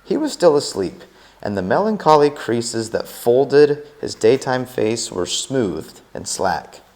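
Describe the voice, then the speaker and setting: A man narrating a chapter of a novel aloud in an expressive, unhurried read-aloud style. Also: medium pitch. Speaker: teacher reading a novel aloud.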